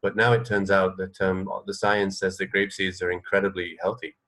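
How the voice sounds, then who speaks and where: A man's voice: sits low, flat delivery, moderate pace, a bit bright. A man co-hosting a radio show, chatting casually about trivia in a hesitant, mildly wry way. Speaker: radio co-host. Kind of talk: casual chat, sharing trivia.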